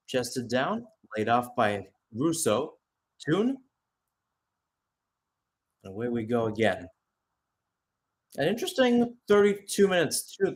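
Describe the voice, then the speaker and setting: A man gives live soccer play-by-play in a radio style, with a mid-pitched voice. He narrates in short, clipped phrases as the commentator following the match.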